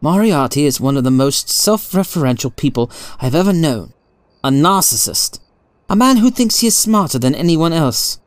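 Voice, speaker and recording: A man voicing a sharp, thoughtful detective in a storybook, with a formal, incisive way of speaking. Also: on the high side, big pitch swings, normal speed, dry recording. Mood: murmured, wary.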